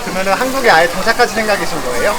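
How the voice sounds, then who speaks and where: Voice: medium pitch, sing-song, very fast. An interviewer asking casual questions on location in a busy restaurant. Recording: noisy crowded restaurant, loud chatter.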